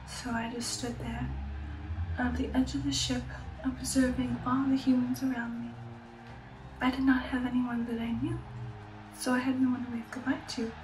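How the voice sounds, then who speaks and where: A woman's voice: on the high side, close to an everyday voice. Whispering aristocratic vampire lady, acted out by a woman, echoey. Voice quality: breathy, whispery. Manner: slow dramatic pauses, genteel, intimate. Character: female aristocratic vampire, poised, sensual, recounting her past. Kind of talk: ASMR roleplay storytelling, whispered and soft-spoken. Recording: roomy echo, distant, music bed. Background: music.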